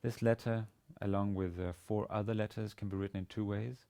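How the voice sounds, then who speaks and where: A man in his forties with a Danish accent lectures as a language teacher. His voice sits very low and crackles with vocal fry. He explains haltingly, often doubling back on small words as he goes. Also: steady tone, dark tone. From Denmark. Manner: halting, hesitant delivery with repeated words. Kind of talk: instructional lecture. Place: indoor studio with plain grey backdrop.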